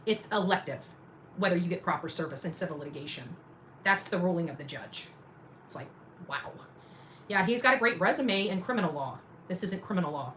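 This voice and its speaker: Emphatic woman commentator with clear background noise. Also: on the low side, a bit bright, poor audio. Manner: emphatic, repeats phrases, sarcastic asides. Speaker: independent journalist and livestream host. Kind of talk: casual livestream commentary to viewers.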